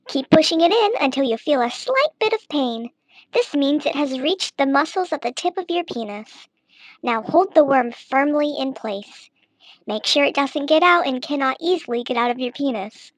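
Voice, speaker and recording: High-voiced woman reading a guide aloud. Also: no room sound. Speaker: narrator reading an online text aloud. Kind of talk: informal read-aloud of a how-to guide.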